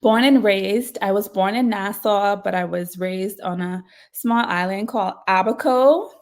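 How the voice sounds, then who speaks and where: A woman, a podcast guest telling her life story in lively casual chat and laughing often. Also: mid-range voice, a little slow. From Bahamas.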